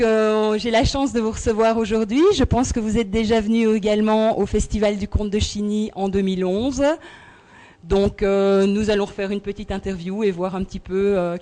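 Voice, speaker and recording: A woman's voice: medium pitch, warm tone. A woman interviewing a guest for a radio station, asking questions politely and using fillers to keep the talk moving.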